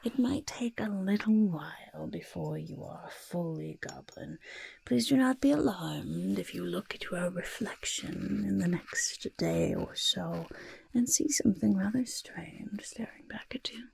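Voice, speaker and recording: A woman voicing a cheerful, mischievous goblin potion-maker in a soft, husky ASMR half-whisper, fussy and chattering. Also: mid-range voice, expressive, measured pace, no room sound, exaggerated voice. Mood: soothing, instructive. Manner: fussy, chattering, repeats words. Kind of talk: soft-spoken ASMR roleplay.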